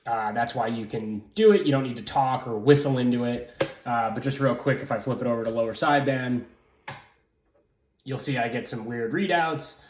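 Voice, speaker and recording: A man's voice: mid-range voice, moderate pace, a little hiss or hum. Man in a roomy, echoing space, reviewing casually. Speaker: radio hobbyist reviewer. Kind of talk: casual hands-on product review. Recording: roomy, echoing room sound.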